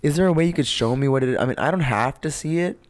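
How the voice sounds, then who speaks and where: A man's voice: medium pitch, quick pace, dry recording, clean studio sound. A man chatting casually as a podcast guest, rambling playfully and using a lot of slang. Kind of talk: casual chat.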